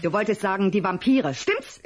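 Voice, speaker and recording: A woman playing a worried, reproachful mother in a children's radio play, reasonable yet exasperated, with a husky voice. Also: medium pitch, lively intonation, moderate pace. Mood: reproachful, concerned.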